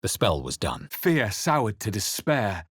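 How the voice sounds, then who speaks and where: A man playing a brooding, watchful adventurer hero in a fantasy video game, with a husky voice and grave, deliberate, theatrical delivery. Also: lowish voice, wildly swooping pitch, a bit bright, no room sound, studio quality, exaggerated voice. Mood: ominous narration. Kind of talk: dramatic game voice lines and first-person narration.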